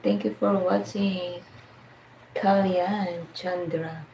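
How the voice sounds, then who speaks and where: A woman's voice: lowish voice, steady tone, takes it slowly, vocal fry, darkish tone, a little hiss or hum, poor audio. A Filipina woman with a Filipino accent hosting a casual live stream, chatting with viewers, greeting them by name and laughing often. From Philippines.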